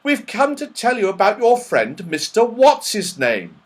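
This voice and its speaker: A man's voice: high voice, wildly swooping pitch, a little slow, pitched above the performer's own voice, exaggerated voice. A man voicing a sensible, slightly impatient boy in a children's storybook, plain-spoken and emphatic. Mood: cross. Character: sensible boy adventurer, direct, a little impatient.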